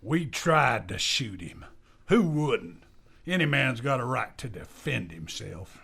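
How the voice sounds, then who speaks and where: Man playing a creaky, bitter western outlaw. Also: mid-range voice, big pitch swings, takes it slowly, sharp tone, exaggerated voice. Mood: defiant. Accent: American. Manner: rough, ungrammatical frontier drawl, accusing. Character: bitter, defiant captured male western outlaw. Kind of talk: western radio drama acting.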